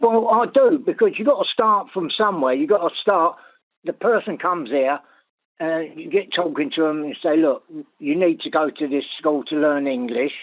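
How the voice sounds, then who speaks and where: A man calling in, speaking hesitantly but earnestly, with frequent pauses. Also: on the high side. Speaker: caller. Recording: phone line into radio.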